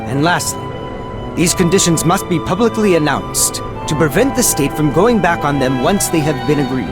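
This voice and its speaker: A man acts out a principled young anime scientist with a fairly high, expressive male voice. He talks formally and deliberately with calm resolve, over loud music. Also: lightly acted. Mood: resolute. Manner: measured, formal, soft-spoken resolve. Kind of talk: anime game voice acting.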